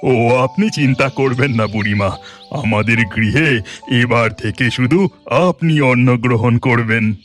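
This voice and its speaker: A man's voice: mid-range voice, unhurried, soft background music, lightly acted. A man voicing a devout, humble village husband in a cartoon folktale, eager to please. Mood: eager, obliging.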